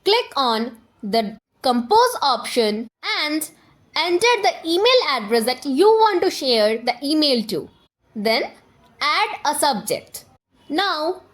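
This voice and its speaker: A woman presenting a scripted software tutorial, giving step-by-step instructions straight to the viewer. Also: sits high.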